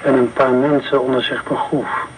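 An actor playing a grim, ominous man who recounts horrors deliberately in a Dutch radio play. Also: mid-range voice, flat delivery, close to an everyday voice. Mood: ominous narrating. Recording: very noisy old radio play recording.

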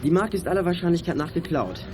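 Brisk, clever teenage boy detective. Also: fairly high pitch, very animated. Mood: businesslike. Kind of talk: 1980s German youth radio play acting. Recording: music under studio radio play dialogue. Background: music.

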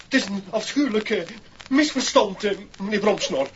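High-voiced, prim butler. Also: male voice, lively intonation, moderate pace. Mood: flustered, apologetic. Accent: Dutch. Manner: formal, indignant, stammering when cornered. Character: stiff, prim male castle butler, easily flustered. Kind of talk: 1960s Dutch radio play acting. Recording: dull, faintly hissy old record.

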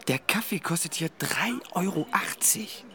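A man's voice: sits high, unhurried, pushed and bright, full character voice. An actor playing a grumbling, joking man in a naturalistic radio drama, with a sardonic, complaining manner. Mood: indignant, sardonic. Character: grumbling, joking male partner of an expectant woman.

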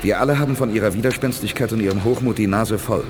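A man playing a confident, impatient space commander in a 1980s German radio play, brisk and authoritative. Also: on the low side, music in the background, natural voice. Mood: firm authority. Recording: radio play with clear background noise.

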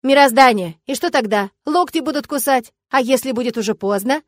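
Woman as feisty young witch, high and emphatic. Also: brisk, no room sound. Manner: emphatic, combative. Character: feisty, loyal young witch friend, indignant and bossy. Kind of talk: dramatised audiobook.

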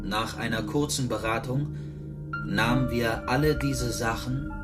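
An actor playing a weary prisoner who narrates a literary radio play. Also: male voice, medium pitch, steady tone, measured pace, music in the background, lightly acted.

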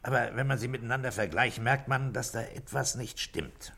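An actor in a 1960s German radio play, playing a thoughtful, conscientious middle-aged police inspector with a dry, deliberate manner. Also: male voice, medium pitch, gravelly, bright, forceful tone, breathy edge, heavily acted. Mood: earnest, troubled.

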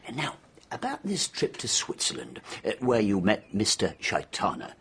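An actor playing a methodical police superintendent in a radio drama, husky and measured, with hesitant questioning. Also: male voice, fairly low pitch, wildly swooping pitch, fairly bright tone, heavily acted. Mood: polite, probing. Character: plain, methodical male English police superintendent.

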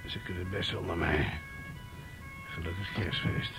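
A man playing an easygoing, dryly amiable policeman in a Dutch radio play, halting and repeating his words. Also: deep voice, huge pitch swings, creaky, music in the background, full character voice. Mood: groggy, grumbling.

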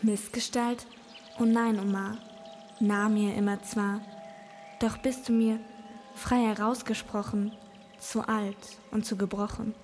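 An actress playing a proud, cool, alluring beloved, speaking measured verse in a radio drama. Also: female voice, mid-range voice, little pitch movement, a little mellow, lightly acted. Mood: aloof. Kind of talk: poetic German radio drama. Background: music.

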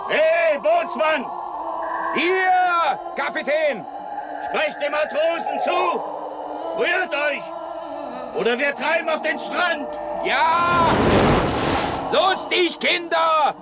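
An actor in a German radio play, playing a frantic shipmaster who bellows urgent orders in a storm. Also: male voice, very high voice, very slow, pauses often, a loud crowd, full character voice. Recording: shouted through loud storm noise.

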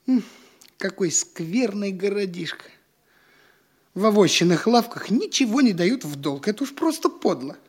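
A young, penniless dandy in a theatrical audio play. His voice sits high and swings freely up and down, excitable and boastful, with a whining, wheedling edge. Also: measured pace, slightly rough, exaggerated voice. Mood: hungry, grumbling. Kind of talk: theatrical audio drama acting.

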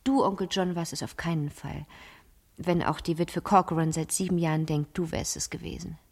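An actress playing a cunning, self-assured fifteen-year-old girl in a radio play, telling her story in a theatrical, deliberate and breathy way. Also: low-pitched, fairly even intonation. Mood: plotting, dramatic. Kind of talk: 1970s German radio play monologue.

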